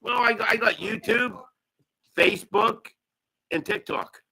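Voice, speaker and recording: A man in his fifties talks loosely and rambles, laughing as he goes. His voice is gravelly and husky, and very bright, with a slightly high pitch. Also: a little slow. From Pennsylvania. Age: 50s. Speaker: guest. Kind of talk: casual podcast chat.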